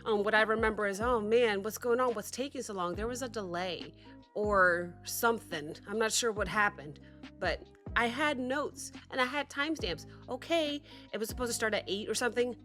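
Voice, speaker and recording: A woman in her thirties hosting a music reaction, chatting excitably with rambling restarts while still sick with a cough. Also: fairly high pitch, normal speed. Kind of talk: casual chat to camera.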